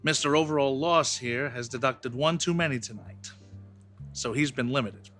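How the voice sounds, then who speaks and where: A man's voice: mid-range voice, normal speed, a bit bright, soft background music, lightly acted. A man playing a wisecracking bartender. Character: friendly, joking male bartender. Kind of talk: noir parody acting.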